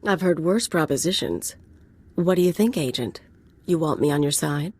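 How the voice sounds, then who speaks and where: An actress playing a brash, ambitious young mercenary in a video game, with a sly, sardonic drawl. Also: female voice, low voice, expressive, normal speed, darkish tone, breathy edge. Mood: cocky, challenging. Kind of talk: video game character dialogue.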